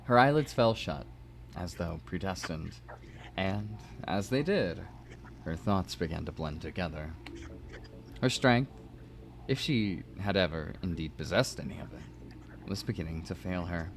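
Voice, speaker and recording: A man narrating prose fiction as a dramatic reading, measured and expressive. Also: low-pitched, a little hiss or hum. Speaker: narrator and host of a story stream.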